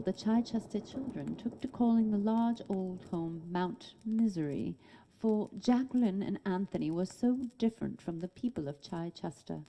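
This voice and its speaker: A woman narrates a story in a very dark, mellow voice. It sits a little low and follows a scripted storytelling style. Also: poor audio.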